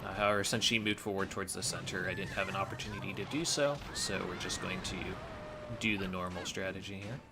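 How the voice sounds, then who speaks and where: A man's voice: low-pitched, quick pace. A man giving explanatory gameplay commentary as a speedrunner, analytical and matter-of-fact.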